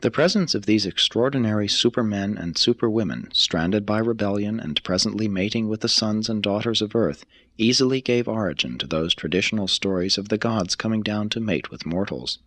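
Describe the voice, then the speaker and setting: A male reader delivers formal religious narration in a measured, solemn way. His voice sits fairly low but moves widely in pitch across each phrase. It has a slightly rough, husky grain. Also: fairly bright tone, dry recording. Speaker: audiobook reader. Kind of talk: scripted narration of a religious text.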